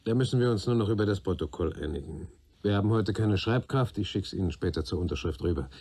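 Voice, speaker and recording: An actor playing a sober, sceptical police inspector in a radio play, speaking in a matter-of-fact, official way. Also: male voice, sits low, lively intonation, fast, mellow, slightly rough, full character voice. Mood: businesslike.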